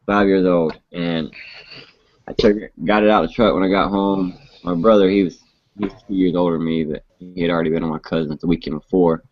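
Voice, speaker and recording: A man's voice: sits low, fairly even intonation, fairly quick. A man in his late twenties chatting casually about motorcycles in a rambling, laid-back way with frequent restarts. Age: 20s. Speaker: host. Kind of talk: casual chat. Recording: muffled lo-fi live stream audio.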